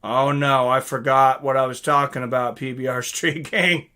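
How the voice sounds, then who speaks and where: A man's voice: medium pitch, fairly even intonation, normal speed, pushed and bright. A man hosting a live stream, chatting casually and reading out comments from viewers.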